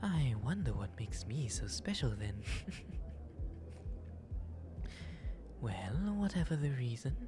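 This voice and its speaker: A man playing a genteel, shy, flirtatious owl demon nobleman in an ASMR roleplay, with soft, breathy, formal and wryly self-deprecating speech. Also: mid-range voice, very animated, unhurried, background noise. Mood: pleased, flirtatious. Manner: refined formal diction, polite, wry, self-deprecating. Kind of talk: ASMR roleplay, intimate audio drama. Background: music throughout.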